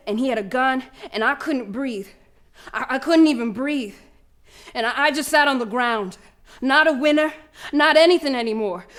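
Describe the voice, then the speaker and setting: A woman's voice: high-pitched, a bit bright. A woman playing a proud young schoolgirl runner in an audio drama monologue, telling her story in colloquial, emphatic speech with dramatic repetition. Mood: shocked, breathless. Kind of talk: dramatic first-person monologue, audio play.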